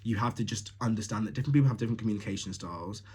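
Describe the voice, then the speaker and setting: A man's voice: fairly low pitch, racing, dark tone, slightly rough. A young man from Tanzania hosting a solo podcast, talking casually and bluntly, laughing often with lots of fillers. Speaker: podcast host.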